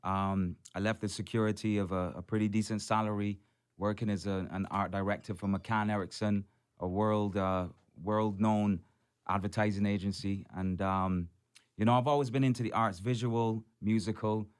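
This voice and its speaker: A man's voice: fairly low pitch, fairly even intonation, moderate pace, clear, resonant tone. A Barbadian soca artist in his forties, telling his story on a radio show in an upbeat way and often saying "man" and "blessed". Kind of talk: radio interview.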